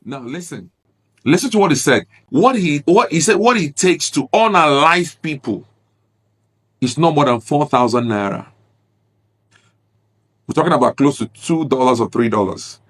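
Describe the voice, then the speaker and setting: A man's voice: a little high, animated, normal speed, long pauses, fairly bright tone. A man, an Igbo speaker, giving earnest, grieved commentary on a video clip and repeating his points. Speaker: reaction video host.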